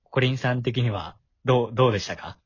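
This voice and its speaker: A man's voice: mid-range voice, fast talker, husky, no room sound. A man who works as a voice actor and writer, chatting casually and full of compliments about a voice drama. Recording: dull lo-fi audio.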